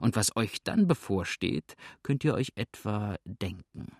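A man's voice: lowish voice, animated, measured pace, darkish tone, dry recording, studio quality. A man voicing a hunted, ruthless outlaw in an audiobook, grim and threatening, with a sneering edge. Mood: sneering threat. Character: hunted, ruthless male fugitive outlaw, exhausted and menacing. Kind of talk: audiobook character acting.

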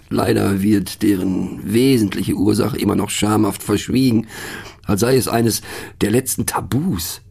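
A man's voice: fairly low pitch, gravelly, a little mellow, slightly rough, exaggerated voice. A man playing a pensive, mocking narrator in a satirical radio play monologue, speaking ironically with conversational, questioning asides. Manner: ironic, rhetorical, punctuated with little questioning tags. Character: wry, pensive, mocking male narrator musing on words.

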